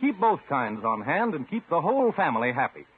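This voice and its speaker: Tinny, muffled 1950s radio. A male commercial announcer with a very husky, fairly high voice reads scripted ad copy in a bouncing, animated melody. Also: a little fast. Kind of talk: 1950s radio advertisement read.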